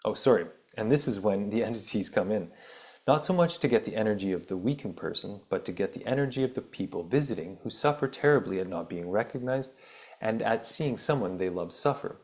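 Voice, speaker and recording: A man hosting a spiritual healing podcast, reading an article aloud and then rambling casually with many ums, his voice turning raspy. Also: lowish voice, darkish tone. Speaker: podcast host.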